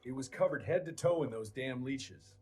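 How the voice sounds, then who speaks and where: A man playing a gruff, defiant young American monster-hunting agent in a video game, blunt and sardonic. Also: medium pitch, animated, normal speed, close to an everyday voice. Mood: grim. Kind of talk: dramatic video game cutscene acting. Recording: loud music behind.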